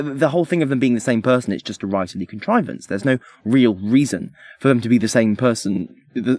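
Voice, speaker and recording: A man podcast host giving a casual but argumentative, analytical critique. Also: mid-range voice.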